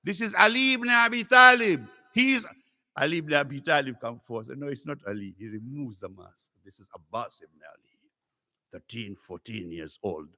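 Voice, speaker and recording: Man from Africa, native Swahili speaker, visiting scholar, earnest sermon, mid-pitched voice, swings up high when storytelling. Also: animated, moderate pace, bright, forceful tone. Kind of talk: religious sermon to a congregation.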